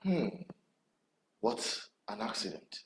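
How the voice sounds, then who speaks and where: A man with a Nigerian accent hosting an online TV programme, addressing viewers in deliberate, emphatic phrases with dramatic pauses. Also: on the low side, sing-song, gravelly. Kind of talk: presenter commentary to camera. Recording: roomy echo with background noise.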